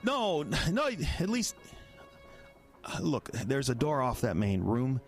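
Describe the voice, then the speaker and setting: An actor plays a rattled man in a horror radio play, jittery and halting. His pitch jumps about a great deal as he stammers, over faint music. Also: huge pitch swings, full character voice. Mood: terrified. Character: panicky, rattled male bureaucrat fleeing a monster. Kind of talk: audio drama acting.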